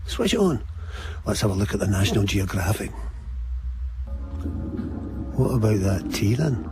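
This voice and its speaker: A man's voice: fairly low pitch, sing-song, unhurried, over music, poor audio. An actor playing a man's unconscious mind as a sardonic, familiar, bantering inner voice in a radio drama. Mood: wry teasing.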